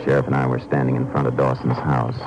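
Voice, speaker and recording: Deep-voiced, steady Texas Ranger. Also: male voice, over loud music. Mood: matter-of-fact. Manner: firm, measured, matter-of-fact. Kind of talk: 1950s radio drama acting and narration. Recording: noisy old 1950s radio recording.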